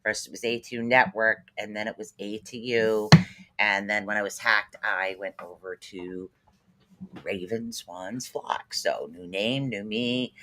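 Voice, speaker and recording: A man's voice: fairly low pitch, heavy background noise. A man with a Boston-sounding East Coast American accent, hosting a casual livestream chat and giving psychic readings in an upbeat, rambling way full of fillers. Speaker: spirit reader and livestream host.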